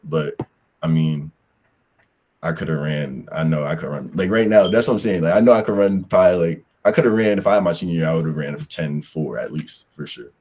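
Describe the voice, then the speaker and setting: Very deep-voiced young man, husky. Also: a little fast, darkish tone. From Pennsylvania. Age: young adult. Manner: loose, rambling, slangy, lots of "like" fillers. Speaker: football player guest. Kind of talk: casual interview answers.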